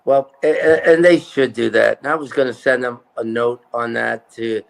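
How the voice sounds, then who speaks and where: A man's voice: medium pitch, normal speed, creaky. A man talking as a political candidate in a casual interview, emphatic and repeating his points.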